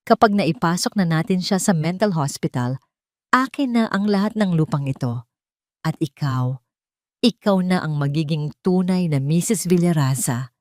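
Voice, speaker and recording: A woman's voice: fairly low pitch, a little mellow, no room sound, studio quality, natural voice. A woman voicing a cold, contemptuous, scheming husband in an audio story.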